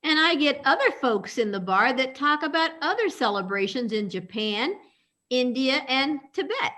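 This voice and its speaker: A woman's voice: sits high, very animated, moderate pace, bright, forceful tone, exaggerated voice. A woman reading the part of an outspoken, affectionate friend in an amateur radio play, with emphatic delivery. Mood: chatty, curious.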